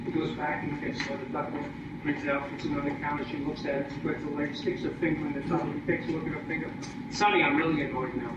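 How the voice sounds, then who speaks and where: A man's voice: fairly high pitch, little pitch movement, fast. A man hosting a live stage show with informal patter and joke telling. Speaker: stage host. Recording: noisy, dull recording from far in echoey hall.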